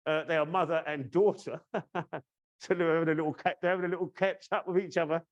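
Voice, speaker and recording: Man in his fifties, from the uk, webinar host, higher-pitched, chatty, teasing. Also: steady tone, fast talker, lo-fi sound. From United Kingdom. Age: 50s. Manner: chatty, teasing the audience, frequent "yeah?" checks. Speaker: course trainer and webinar host. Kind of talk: casual webinar presentation. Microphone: video call.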